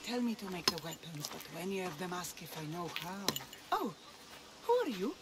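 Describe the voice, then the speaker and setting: A reluctant young blacksmith's daughter. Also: female voice, lowish voice, very animated, normal speed, heavy background noise. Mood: grumbling, reluctant. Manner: wry, hesitant, grumbling, sighing. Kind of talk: video game cutscene acting.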